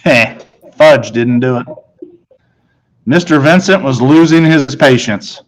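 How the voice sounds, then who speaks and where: A man's voice: mid-range voice, slow, poor audio. A man reading a children's novel aloud as scripted first-person narration. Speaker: story reader.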